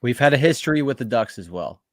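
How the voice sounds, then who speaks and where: A man's voice: medium pitch, expressive, fast, no room sound, clean studio sound. A man chatting casually about sports as a podcast co-host.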